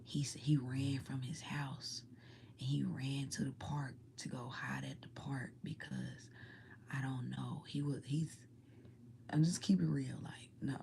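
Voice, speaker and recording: A woman whispers her story to the camera in an ASMR-like hush, rambling from one thing to the next in loose, casual slang. Her voice sits very low, with a gravelly fry when she speaks aloud. A faint hiss lies underneath.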